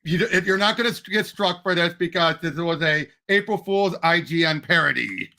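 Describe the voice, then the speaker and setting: A man's voice: fairly high pitch, a little fast, gravelly, sharp tone. A man talking about movies as a podcast panelist, emphatic and eagerly repeating himself. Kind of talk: casual movie discussion.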